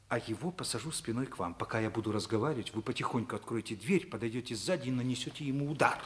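An actor playing a scheming Soviet senior lieutenant who is secretly a traitor, urgent and conspiratorial, in a 1950s stage drama style. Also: male voice, on the low side, close to an everyday voice. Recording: vintage radio recording, faint background noise.

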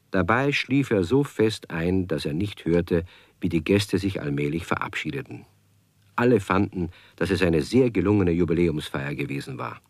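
A man narrating a children's radio play in a calm, measured storytelling manner. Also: low-pitched, no echo.

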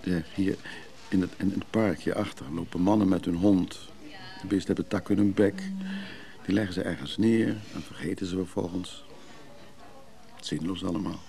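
An actor in a Dutch radio play voicing an evasive, soothing antiques buyer, speaking conversationally and a little hesitantly. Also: male voice, sits low, fairly even intonation, moderate pace, vocal fry, dark tone. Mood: weary, musing. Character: Freek, evasive, soothing middle-class antiques buyer and brother.

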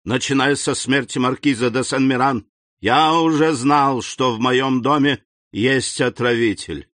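A man reading the part of a stern, cold middle-aged royal prosecutor who speaks in an icy, deliberate, accusing manner. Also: on the low side, dry recording, studio quality. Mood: fierce accusing.

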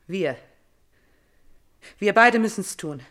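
An actress playing a fanatical, vengeful young princess in a classical radio tragedy, declaiming fiercely and insistently. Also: female voice, medium pitch, lively intonation, takes it slowly, a bit bright. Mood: grim resolve. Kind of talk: intense classical stage-style radio tragedy acting.

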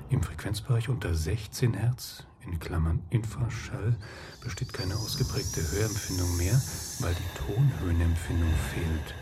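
Low-voiced harried civil servant played by a man. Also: natural voice. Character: harried, reluctant civil servant with secret affair. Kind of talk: German radio drama acting. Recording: loud background ambience noise.

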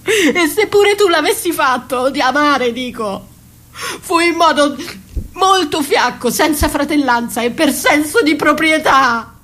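An actress playing a wounded, betrayed wife in a theatrical monologue, bitter and defiant, with halting, intense delivery. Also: female voice, sits high, a bit bright. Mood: anguished, tearful. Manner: dramatic, halting, intense, dropping to breathy whispers. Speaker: stage actress performing a solo.

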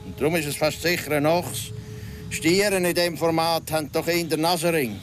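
A man's voice: fairly high pitch, fairly even intonation, fairly quick, faint music behind, lightly acted. An actor playing a wary, guarded local man in a radio drama, with a Swiss German accent and a terse, formal manner. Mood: reserved, explaining.

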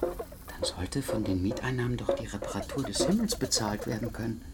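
A man's voice: mid-range voice, expressive, normal speed, heavy background noise, close to an everyday voice. An actor playing a bemused male government inspector in a satirical radio drama, dry and formally polite but easily exasperated.

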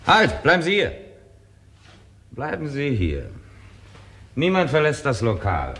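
An actor playing a composed, authoritative chief inspector with a measured, drily ironic manner in a 1960s radio crime play. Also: male voice, medium pitch, huge pitch swings, bright, forceful tone, full character voice. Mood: commanding. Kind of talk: 1960s German radio crime drama acting.

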